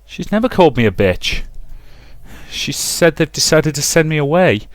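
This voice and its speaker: A man's voice: a little high, animated, moderate pace, no echo, pitched above the performer's own voice, close to an everyday voice. A man voicing a frightened, guilt-stricken young woman in a visual novel, pleading and stammering in anguish. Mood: anxious, hurt. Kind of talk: dramatic reading of visual novel dialogue.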